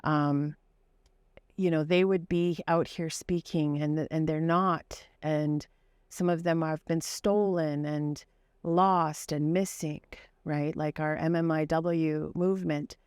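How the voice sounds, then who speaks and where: A woman's voice: low-pitched, steady tone, slow pace, darkish tone, smooth, dry recording, clean studio sound. A woman in her forties, a psychologist and advocate, speaking earnestly and passionately in an interview, with frequent fillers. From Canada. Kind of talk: interview conversation.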